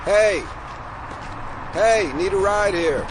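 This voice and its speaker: A friendly stranded traveller in an audio drama. His fairly high voice is casual and polite, set against clear car and outdoor noise. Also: slow. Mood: calling out. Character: stranded, friendly male traveller seeking a ride.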